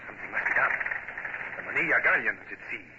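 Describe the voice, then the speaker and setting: An actor playing a courteous, earnest Spanish naval officer with a Spanish accent, emphatic and ceremonious, in an old radio drama. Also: male voice, medium pitch, animated, fast talker, lightly acted. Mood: worried. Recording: dull, hissy old radio recording.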